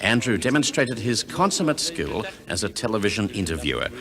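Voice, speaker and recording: A man's voice: fairly low pitch, sing-song, fairly quick, crowd noise. A man with an Australian accent reading scripted narration for a documentary tribute.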